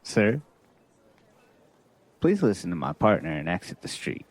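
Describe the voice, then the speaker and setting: A man's voice: fairly low pitch, very animated, measured pace. An actor playing a stern, formal male police officer in an audio drama.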